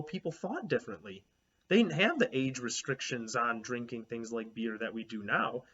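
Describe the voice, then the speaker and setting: A male teacher reading a children's chapter book aloud, expressive and making chatty asides to young listeners. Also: mid-range voice, slightly rough. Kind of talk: children's read-aloud narration. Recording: slightly dull lo-fi recording.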